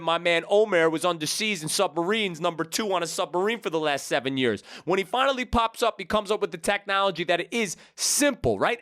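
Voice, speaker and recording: A man's voice: high-pitched, a bit bright. A man hosting a podcast in a brash, slangy, hyped-up way, from Brooklyn. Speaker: podcast host. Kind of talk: casual podcast chat.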